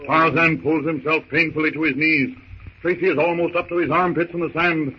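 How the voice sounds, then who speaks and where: A man's voice: on the high side, steady tone, a little fast, dull audio, a little hiss or hum. A man narrating a 1930s radio adventure serial in a theatrical, urgent manner with emphatic short phrases, on an old radio recording.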